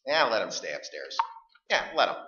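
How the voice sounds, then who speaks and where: An actor playing a stubborn, defensive middle-aged husband in a staged radio play, arguing and stammering. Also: male voice, fairly low pitch, very animated, moderate pace, sharp tone. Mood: grumbling. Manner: stammering, argumentative. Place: live stage performance in a room. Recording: dull, echoey stage recording.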